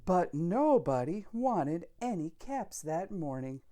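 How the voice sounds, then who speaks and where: A woman reading a picture book aloud for children, expressive and deliberate, with dramatic pauses. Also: lowish voice. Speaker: storyteller.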